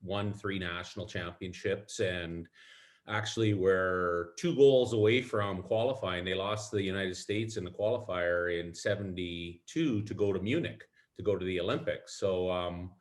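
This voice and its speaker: A man's voice: low voice, unhurried. A middle-aged man from a small Alberta town, a podcast guest telling his story in a rambling, self-deprecating way with lots of ums. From Alberta, Canada. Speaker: guest, club player. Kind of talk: casual storytelling interview.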